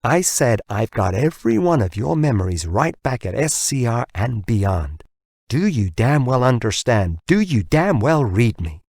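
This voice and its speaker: Man voicing an embittered, sweary American man, husky. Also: medium pitch, sing-song, measured pace, darkish tone, dry recording, studio quality, heavily acted. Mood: enraged. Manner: sweary, taunting, tumbling over his words. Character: bitter, ranting American man, triumphant and enraged. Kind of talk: audiobook character dialogue.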